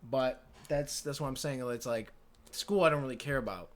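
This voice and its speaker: A man's voice: mid-range voice, normal speed. A man in his late teens co-hosting a podcast, chatting casually in a rambling, slangy, repetitive way. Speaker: podcast co-host. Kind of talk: casual chat.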